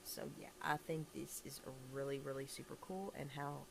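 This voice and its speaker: Low, gravelly woman getting over a cold. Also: normal speed, background noise. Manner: rambling, enthusiastic, many fillers and restarts. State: raspy from recent illness. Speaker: toy collector vlogger. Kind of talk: casual toy review to camera. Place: indoors at a table at home. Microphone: camera filming hands and toys, speaker off screen.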